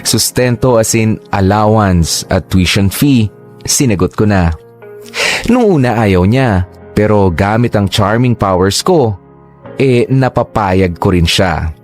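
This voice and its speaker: A man's voice: lowish voice, lively intonation, slow pace, darkish tone. A man narrating his own love story in a chatty, confiding first-person tone. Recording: faint music underneath.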